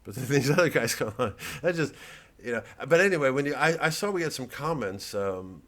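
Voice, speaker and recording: A man's voice: mid-range voice, lively intonation, brisk, fairly bright tone. An American man, a comedian, talking casually to his fans in a rambling, digressive monologue with some swearing.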